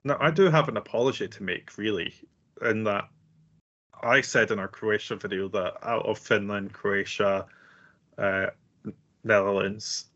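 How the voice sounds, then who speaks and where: Lower-voiced man, measured review chat. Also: a bit bright, highs cut off. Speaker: co-host reviewing songs.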